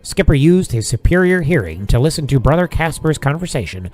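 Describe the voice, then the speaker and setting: A man's voice: a little high, very animated, brisk, a little mellow. A man reading scripted narration for an audio drama.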